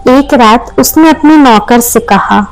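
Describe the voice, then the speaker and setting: A woman's voice: on the high side, slow pace, faint music behind, poor audio. A woman narrating a scripted moral story as a storyteller.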